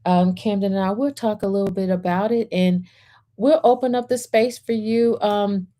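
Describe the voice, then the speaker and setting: A woman hosting a storytime as a reverend, speaking in measured short phrases as she welcomes, guides and reads aloud. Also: mid-range voice, steady tone, dark tone. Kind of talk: gentle guided centering and picture book read-aloud.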